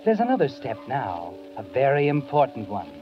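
An actor playing a sardonic, all-knowing narrator of a 1940s radio mystery, insinuating and theatrical. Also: male voice, mid-range voice, huge pitch swings, normal speed. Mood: knowing, menacing. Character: sardonic, omniscient, sinister narrator addressing the villainess. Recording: muffled 1940s radio recording. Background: dramatic music.

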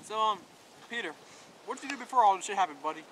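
Young male with a high voice, casual hesitant line readings, clear outdoor background noise. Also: lively intonation, racing, a bit bright. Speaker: amateur actor in film outtakes. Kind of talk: rehearsing scripted dialogue, casual. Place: outdoors in woods. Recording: noisy outdoor camera recording.

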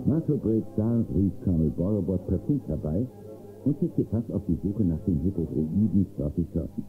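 A man's voice: deep voice, expressive, normal speed. A man acting as the storytelling narrator of a space-western radio play. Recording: thin muffled cassette, music behind.

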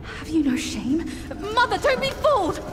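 An actress playing an earnest young demon princess and loyal daughter in a game cutscene, imploring and impassioned. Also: female voice, very high-pitched, sing-song, normal speed. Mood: outraged. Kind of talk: dramatic video game cutscene acting. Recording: reverberant hall, loud music behind.

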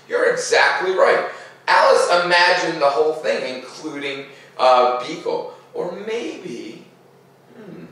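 Expressive man reading aloud, very echoey room. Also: mid-range voice. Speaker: read-aloud host. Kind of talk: storybook read-aloud with casual commentary for children. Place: living room, seated in armchair by fireplace. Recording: roomy echo, distant microphone.

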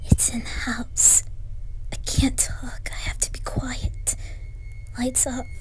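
A woman playing a terrified young woman trapped at home, intense, pleading and often hushed, in a horror story. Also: a little high, level tone, slow, in a whisper, music in the background, poor audio. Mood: whispering, terrified. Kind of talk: dramatised horror story reading.

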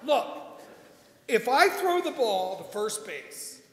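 A man playing a confused, exasperated ballplayer in an old-time radio comedy act, loud and indignant. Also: very high-pitched, big pitch swings, slow, slight background noise, full character voice. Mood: baffled, frustrated. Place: stage in a hall. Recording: echoey hall with long reverb.

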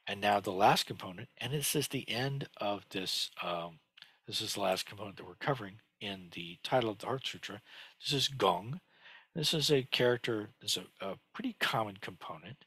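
An older man with a low, husky voice talks through a lesson, pausing often on little fillers and restarting his sentences. His tone stays bright and forward, and the sound is close and dry. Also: animated, no echo. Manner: explanatory, halting, many fillers and restarts. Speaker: teacher. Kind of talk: screen-share lesson on Chinese characters. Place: home study with bookshelves. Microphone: headset.

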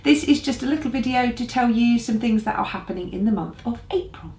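A woman's voice: on the high side, a little fast, dark tone. A woman in her forties with an English accent, a pastor giving friendly church announcements to camera. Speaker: senior pastor presenting a church newsletter. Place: small living room, on a sofa. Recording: roomy, echoey living room.

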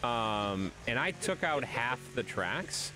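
Excitable male streamer, wildly swooping pitch. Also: slow pace, poor audio. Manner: excitable, swoops up into yelps at crashes; self-mocking, addresses chat as guys. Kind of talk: live gameplay commentary. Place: home streaming room. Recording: game music under voice. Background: game music.

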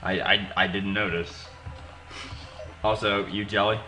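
Low-voiced joking young man. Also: level tone, measured pace, sharp tone. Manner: joking, stammers on restarts. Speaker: let's play co-host. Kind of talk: casual gaming chat.

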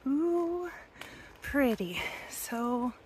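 Woman, 20s, hiker vlogging into a handheld phone outdoors; unhurried, chatty, filler-heavy, drifts into soft half-whispers. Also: high voice, expressive, background noise. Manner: chatty, with frequent ums, drops to a near whisper at times. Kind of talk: casual vlog narration. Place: wooded mountain trail outdoors. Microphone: phone camera held at arm's length.

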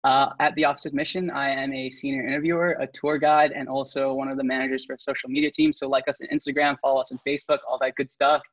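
A man's voice: medium pitch, little pitch movement, fast, few pauses, no echo. A college student in his early twenties from Singapore and the Bay Area, a man casually introducing himself on a video call. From Singapore and the San Francisco Bay Area. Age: early 20s. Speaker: student panelist. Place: indoor room, video call. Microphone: video call microphone. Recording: dull, dry video-call audio.

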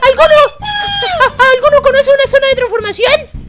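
A woman as a squealing, shrill little cartoon boy. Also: moderate pace, over loud music, a loud crowd. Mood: excited, shouting. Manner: shouting, repeating words excitedly. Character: excitable squeaky baby boy game character. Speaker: host leading a group roleplay. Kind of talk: loud playful Minecraft roleplay.

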